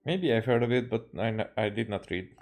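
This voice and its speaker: A man's voice: mid-range voice, expressive, brisk, darkish tone. A man from Hungary casually reacting to a game as he streams, with dry, offhand remarks.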